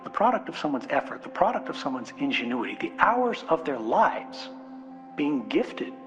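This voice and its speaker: Lively-pitched man giving a speech over music. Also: moderate pace, lo-fi sound. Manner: earnest, reflective, rhetorical repetition and questions. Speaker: conference speaker. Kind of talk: political keynote speech. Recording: music bed behind speech.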